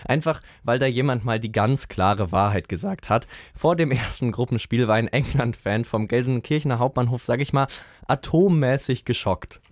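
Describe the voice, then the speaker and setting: A joking male radio co-host who tells stories with lively banter. His voice has a middling pitch and a dry, close studio sound. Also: moderate pace, no room sound.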